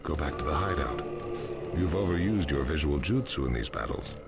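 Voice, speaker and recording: A man playing a calm, sinister villain in an anime game, giving orders in a cold, measured, authoritative way. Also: a bass voice, vocal fry, exaggerated voice. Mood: coldly commanding. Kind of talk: English anime game voice acting. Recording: loud music over voice.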